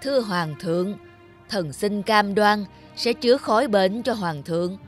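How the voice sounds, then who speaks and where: A woman's voice: mid-range voice, unhurried, faint music behind, natural voice. A woman voicing a self-assured male royal physician in a cartoon, formal, learned and respectful. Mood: confident, deferential. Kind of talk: cartoon voice acting.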